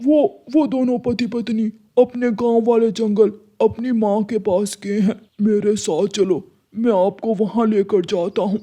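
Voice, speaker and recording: A woman's voice: mid-range voice, steady tone, measured pace, hardly any pauses, warm tone, close to an everyday voice. A woman voicing a cowardly, greedy older male uncle crow who stammers and repeats words in a cartoon. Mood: eager.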